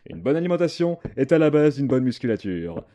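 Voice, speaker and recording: A man's voice: medium pitch, sing-song, brisk, dark tone, natural voice. A man acting a bombastic superhero with a pompous, declamatory delivery in an audio comedy. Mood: pompous, boastful. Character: bombastic male superhero selling protein powder in an advert.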